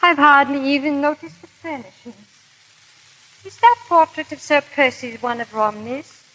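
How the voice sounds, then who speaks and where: A woman's voice: high-pitched, moderate pace, a bit bright. A woman playing a proud, guilt-ridden aristocratic wife in a theatrical, urgent 1930s radio drama style. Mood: curious. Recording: crackly, hissy 1930s radio recording.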